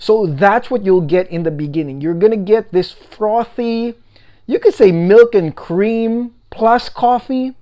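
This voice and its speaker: A young man chatting casually to the camera in a perfume review video, animated and talkative, often adding "guys" and "okay?". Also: high-pitched, unhurried. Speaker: fragrance reviewer. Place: home room, indoors.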